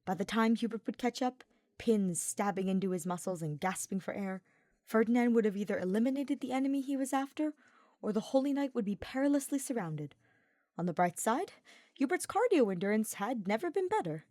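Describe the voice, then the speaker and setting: A woman's voice: fairly high pitch, lively intonation, fairly quick, darkish tone, no room sound. Woman narrating a story, very dry sound. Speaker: podfic narrator.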